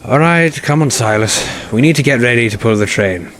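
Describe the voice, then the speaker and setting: A man voicing a patient senior steam engine in an audio story, measured, reasonable and firm. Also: medium pitch, lively intonation, a bit bright, natural voice.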